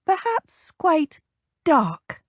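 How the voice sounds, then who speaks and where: A woman reading the part of a spirited, sharp-tongued young noblewoman with a bright, lilting delivery. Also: high-pitched, huge pitch swings, extremely slow, no room sound, studio quality, pitched above the performer's own voice, heavily acted. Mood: pleading. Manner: pleading or snappish, lilting.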